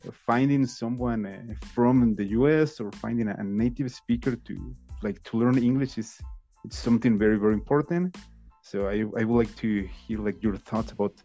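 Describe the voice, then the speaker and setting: A man hosting a podcast interview, speaking haltingly with many "uh" fillers. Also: mid-range voice, mellow, faint music behind. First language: Spanish. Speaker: podcast host. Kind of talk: casual interview chat.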